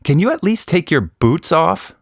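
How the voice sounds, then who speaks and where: A man's voice: a little high, sing-song, moderate pace, gravelly, no room sound, clean studio sound, pitched above the performer's own voice, exaggerated voice. A man voicing an indignant, protective little girl in a children's story.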